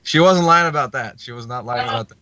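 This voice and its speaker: A man with a bright, forceful, mid-pitched voice chats as a podcast co-host. His delivery is casual and conversational, with a teasing, bantering manner. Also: quick pace, dull audio. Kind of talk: casual chat.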